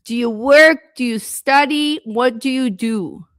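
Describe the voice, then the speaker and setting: A woman with a fairly high voice teaches English on a live stream, speaking slowly and patiently. She greets viewers warmly by name and coaches them through their answers. The sound is close and dry, with no room echo. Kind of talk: live-stream speaking lesson reading chat comments.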